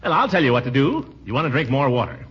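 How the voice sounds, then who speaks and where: An actor playing a pompous, flustered middle-aged bachelor uncle in a blustery, hemming 1940s radio comedy style. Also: male voice, mid-range voice, big pitch swings, quick pace, natural voice. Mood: pompous, insistent. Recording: muffled, noisy 1940s radio broadcast recording.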